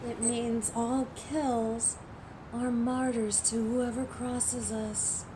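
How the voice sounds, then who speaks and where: Actress as a female alpha werewolf for audio drama: higher voice, unhurried delivery, menacing, commanding, easily exasperated. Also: little pitch movement, background noise. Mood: cold, menacing. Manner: imperious, sardonic, menacing. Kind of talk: dramatic roleplay audio acting.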